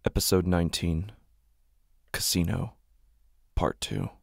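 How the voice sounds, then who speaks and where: Man, horror narrator, husky and rasping, brooding, deliberate, intense, very dry close recording. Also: deep voice, big pitch swings, dry recording. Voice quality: gravelly, husky, breathy at times. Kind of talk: dramatic first-person horror narration.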